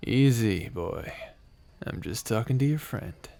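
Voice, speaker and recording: A man playing a shabby, oily older stranger who coos with quiet menace in an audio drama. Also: on the low side, expressive, unhurried, creaky. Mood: oily, sly. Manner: oily, cooing.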